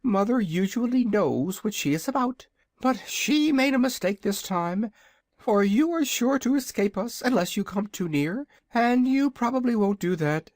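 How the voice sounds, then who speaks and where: A reader voicing a proud, hungry young dragon in a storybook, with a high, drawling, haughty manner. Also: lively intonation, unhurried, a little mellow, dry recording, studio quality, lightly acted. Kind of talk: audiobook character voice.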